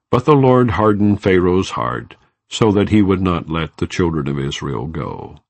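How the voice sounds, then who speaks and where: A man narrating an audiobook of scripture in a solemn, measured, formal manner, without dramatizing the dialogue. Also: low voice, no room sound. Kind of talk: non-dramatized scripture reading.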